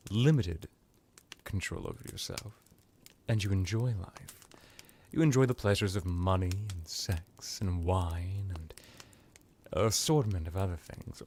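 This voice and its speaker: A man voicing a seductive, menacing vampire necromancer in an intimate ASMR hypnosis roleplay, purring deliberately and slipping into whispers. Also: deep voice, darkish tone, a little hiss or hum.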